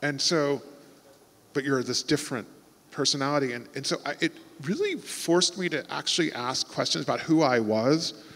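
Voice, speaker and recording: A man's voice: on the high side, animated, normal speed, a bit bright. American man talking through restarts in a hall. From Texas, United States. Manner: thoughtful, rambling, with frequent restarts. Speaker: author on a festival panel. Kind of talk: conversational panel talk. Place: large festival venue before an audience. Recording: long hall reverb.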